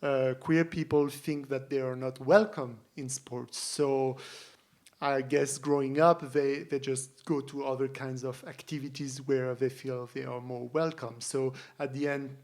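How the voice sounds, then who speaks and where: A man from France, a guest speaking reflectively in a panel discussion, with halting starts. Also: medium pitch, level tone, darkish tone. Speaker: podcast guest.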